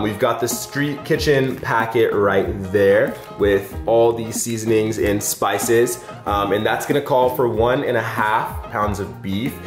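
Young guy doing a chatty meal prep vlog in his kitchen, roomy echo, music playing under him. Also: mid-range voice. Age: young adult. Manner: upbeat, chatty, addresses viewers directly. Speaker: fitness vlogger, host. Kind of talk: casual cooking demo to camera. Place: home kitchen indoors.

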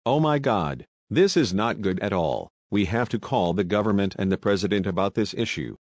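A man's voice: fairly low pitch, animated, normal speed, no room sound. A man playing a worried colleague facing cosmic doom, in stilted amateur disaster-drama dialogue.

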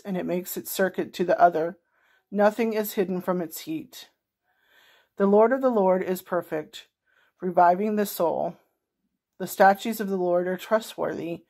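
A woman's voice: on the low side, a little mellow. A middle-aged woman reading a psalm aloud as a scripture reader, recorded on a phone at home. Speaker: scripture reader at a worship gathering. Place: indoor room at home. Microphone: phone held at arm's length.

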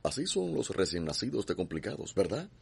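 An actor playing a cold, domineering landowner in a radio drama, imperious and threatening, with a Cuban accent and husky voice. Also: male voice, low voice, big pitch swings, brisk, darkish tone, heavily acted. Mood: cold, commanding. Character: cold, menacing, domineering male slaveholding landowner.

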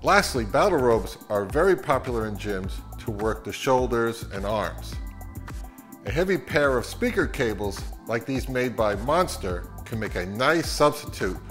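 Deadpan middle-aged man over music. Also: medium pitch, unhurried. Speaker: host of a hobby audio channel. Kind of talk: scripted narration to camera, tongue-in-cheek instructional.

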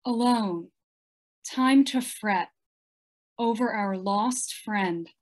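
Very slow-paced middle-aged woman. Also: medium pitch. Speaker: poet reading own poem. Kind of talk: poetry recitation. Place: home room indoors. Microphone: video call.

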